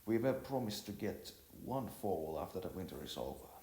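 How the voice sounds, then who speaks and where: A man voicing a stoic, hardworking poor young man in a storybook, speaking in a halting, earnest, determined way. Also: sits low, sing-song, dark tone, roomy sound.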